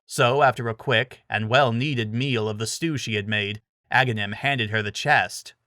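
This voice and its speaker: Mid-pitched man narrating, dry sound. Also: moderate pace, bright, forceful tone, no room sound. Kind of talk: scripted dramatic story narration.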